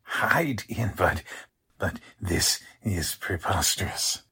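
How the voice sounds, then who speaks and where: An actor playing a defensive, flustered small male doctor in a 1940s-style radio mystery, husky and halting. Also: low-pitched, lively intonation, bright, forceful tone, exaggerated voice. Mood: indignant panic. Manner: halting, indignant, slightly stammering. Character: small suspect male doctor, stage mind reader, flustered.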